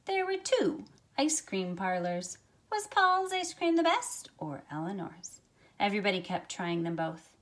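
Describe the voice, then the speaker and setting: A middle-aged woman reading a picture book aloud to young listeners in an expressive, measured storytelling way. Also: medium pitch. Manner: expressive, measured storytelling with drawn-out phrases and softened asides. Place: small carpeted room at home, indoors. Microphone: phone at arm's length.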